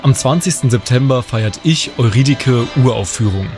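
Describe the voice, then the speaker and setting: A man's voice: mid-range voice, expressive, moderate pace, darkish tone. A man reading scripted narration as a reporter for a radio culture feature. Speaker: news reporter. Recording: music bed behind the voice. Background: music.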